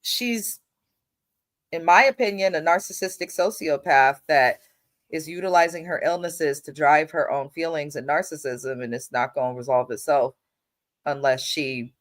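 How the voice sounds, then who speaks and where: A woman's voice: low-pitched, moderate pace. Congested nasal woman hosting a blunt recap. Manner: blunt, animated, swears freely. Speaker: host. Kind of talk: casual TV recap commentary.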